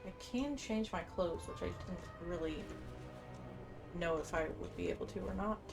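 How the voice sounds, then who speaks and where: A woman's voice: fairly low pitch, normal speed, vocal fry. A woman giving casual commentary on a video game playthrough, musing aloud informally as she plays. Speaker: gamer recording a playthrough. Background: game music.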